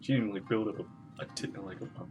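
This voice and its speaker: A woman's voice: a bass voice, quick pace. A woman streamer giving casual live commentary on a game, playfully mock-grumbling as she goes. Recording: game music behind the voice.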